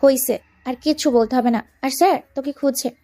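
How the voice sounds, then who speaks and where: A woman plays a young female best friend in a romantic audio story. She has a high voice and talks directly, bossy yet caring. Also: fairly even intonation, brisk, mellow, lightly acted. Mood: scolding.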